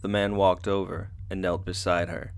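Very deep male narrator, somber and measured. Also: little pitch movement. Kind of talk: scripted dramatic narration for radio theatre.

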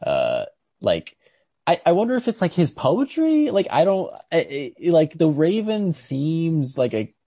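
A man's voice: a little high, huge pitch swings, measured pace. A man chatting casually as a podcast co-host, hesitant and often restarting his thoughts. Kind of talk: casual chat about literature. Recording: dull, muffled podcast audio.

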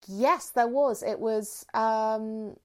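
A woman in casual, reflective conversation as a writer, thinking aloud and gently self-deprecating about the work. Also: a little high, very slow. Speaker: writer discussing their own show.

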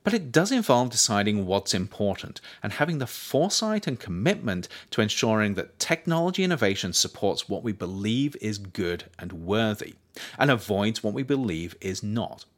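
A man reading his own scripted non-fiction as narrator, with measured, articulate, emphatic phrasing. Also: on the low side, big pitch swings. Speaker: author reading own book as audiobook podcast.

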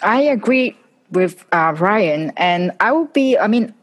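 A woman from Hong Kong co-hosting a tech podcast, speaking carefully with a slightly halting manner. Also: medium pitch, animated. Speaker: podcast co-host. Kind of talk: casual tech chat.

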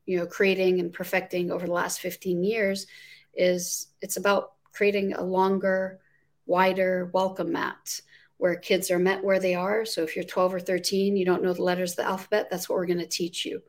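A woman from Jordan, in her forties, guest on a remote interview, earnestly explaining her work with plenty of fillers. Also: lowish voice. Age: 40s. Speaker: guest, nonprofit founder. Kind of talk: casual interview chat.

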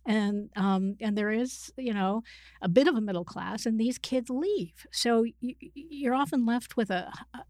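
A woman's voice: mid-range voice, a little mellow, no echo, studio quality. A middle-aged woman answering as an interview guest, conversational and thoughtful, feeling her way through explanations with self-corrections. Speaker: interview guest, psychiatrist and author.

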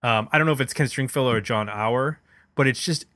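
A man's voice: mid-range voice, fairly quick, fairly bright tone, no echo. A man chatting casually about music in an enthusiastic, analytical way, like a podcast host.

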